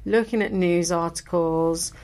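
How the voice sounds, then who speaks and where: A woman co-hosting a radio podcast in relaxed, reflective chat, her voice still a little croaky after illness. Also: on the low side, measured pace. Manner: chatty, reflective, laughs easily. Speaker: podcast co-host.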